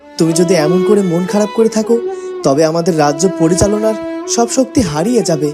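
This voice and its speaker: A man's voice: high-pitched, fairly quick, lightly acted. A man voicing a young prince in a fairytale cartoon, an earnest, caring son with a reassuring manner. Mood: concerned, urging. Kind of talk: cartoon character dialogue. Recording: loud music bed behind the voice.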